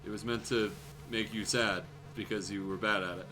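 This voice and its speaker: A man's voice: on the low side, fairly even intonation, normal speed, rough recording. A man streaming games as show host, chatting casually with wry, sweary remarks. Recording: music playing faintly behind the voice.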